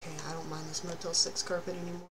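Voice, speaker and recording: Young man, late teens, high voice, flat and even, rambling, self-deprecating, headset mic, noisy background. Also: racing. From Texas. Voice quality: high, youthful-sounding voice. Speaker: game streamer. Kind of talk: casual live-stream chat while playing. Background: ambient noise, thunder outside.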